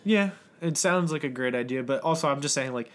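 A man's voice: mid-range voice, brisk. A man hosting a fitness podcast in an easygoing, earnest way. He explains lifting form patiently, leaning on plenty of filler words as he goes. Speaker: podcast host. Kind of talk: casual podcast chat.

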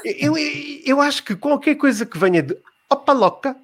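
A man's voice: extremely high pitch, animated, normal speed, fairly bright tone. A man with a European Portuguese accent, hosting a comedy news show in a casual, chatty presenting style.

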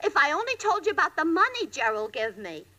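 An actress playing a belligerent, blunt young bride-to-be in a snappy 1940s radio comedy style. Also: female voice, high-pitched, big pitch swings, fast, bright, forceful tone, heavily acted. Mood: chatty, relieved. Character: brassy, belligerent young bride-to-be, feared tough gal.

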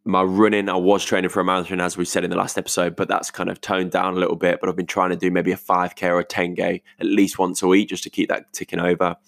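A young British man with a croaky voice recording a casual, reflective voice note at home, rambling informally as he thinks aloud. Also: low voice, completely flat delivery, fast, hardly any pauses, dry recording. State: croaky voice today. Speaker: podcast host. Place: multi-purpose living room at home.

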